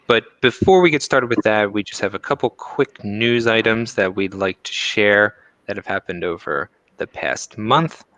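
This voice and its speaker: Bright, dry-voiced male stream host. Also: lowish voice, moderate pace, dry recording, rough recording. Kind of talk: casual live-stream hosting.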